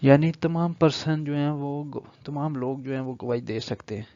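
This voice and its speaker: A man's voice: medium pitch, fairly even intonation, moderate pace, warm tone, no echo. A man with a Pakistani accent gives an online law lecture, reading out text and explaining it as he switches between Urdu and English. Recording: dull lo-fi microphone sound.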